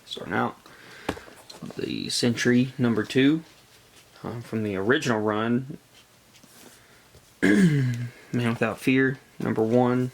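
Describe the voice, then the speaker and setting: A man with a congested, gravelly voice from a cold, chatting casually as he shows off a collection haul in a halting way. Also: mid-range voice. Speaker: comic book collector presenting a haul. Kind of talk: casual show-and-tell vlog chat.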